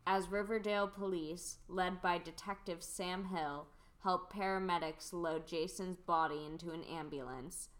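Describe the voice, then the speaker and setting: Woman reading stage directions, low voice. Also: steady tone, a little slow, hardly any pauses.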